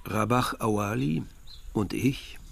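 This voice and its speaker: An actor playing a reflective man narrating his village youth in a literary radio drama, measured and articulate. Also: lowish voice, creaky, slight background noise, full character voice.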